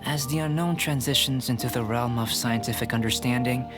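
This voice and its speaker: A cerebral young male researcher, acted by a man in a video game. He talks in a measured, detached and politely scholarly manner at a medium pitch, with music clearly behind him. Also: lightly acted. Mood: pensive, fascinated.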